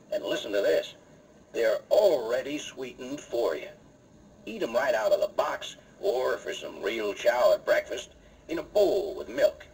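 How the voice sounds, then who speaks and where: Lively folksy cowboy radio pitchman. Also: creaky, rough recording. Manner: folksy, chummy cowboy talk. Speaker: sponsor announcer in cowboy persona. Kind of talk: 1950s radio ad pitch to children. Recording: old radio recording, faint noise.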